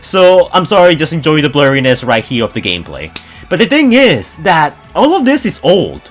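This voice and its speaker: Animated man doing casual gaming commentary. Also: fairly high pitch, moderate pace. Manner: informal, excitable, conversational. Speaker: gaming news YouTuber. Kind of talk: casual enthusiastic video commentary to viewers.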